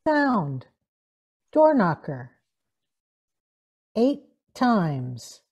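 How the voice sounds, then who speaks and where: A woman's voice: medium pitch, very animated, extremely slow, a little mellow, husky. A woman reading out sound-effect cues for a live radio play, in short announced phrases.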